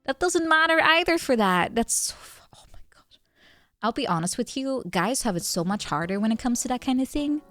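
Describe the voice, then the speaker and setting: A woman's voice: a little high, expressive, a little fast, fairly bright tone, no echo. A young woman streaming, chatting casually with viewers. She laughs often, thinks aloud with fillers, and is tipsy from wine. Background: faint background music.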